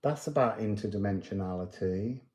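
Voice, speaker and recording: A man giving an unscripted spiritual card reading, musing aloud with pauses and emphatic phrases. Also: lowish voice, a little mellow, roomy sound. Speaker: card reader and spiritual guide.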